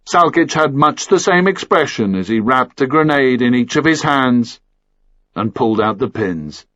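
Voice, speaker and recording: A man's voice: mid-range voice, fairly bright tone, no room sound, lo-fi sound. A man reading a first-person action thriller as scripted audiobook narration.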